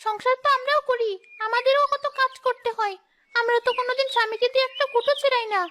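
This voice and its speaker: A woman's voice: very high voice, fairly even intonation, quick pace, bright, forceful tone. A woman voicing a spiteful, jealous village gossip who scolds and taunts in a cartoon. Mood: mocking.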